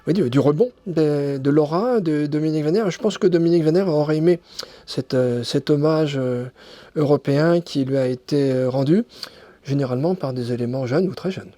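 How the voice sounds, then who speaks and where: A man's voice: fairly high pitch, moderate pace, warm tone, no room sound. A man speaking as a guest in an interview, hesitant and full of restarts and fillers. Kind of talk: casual interview talk.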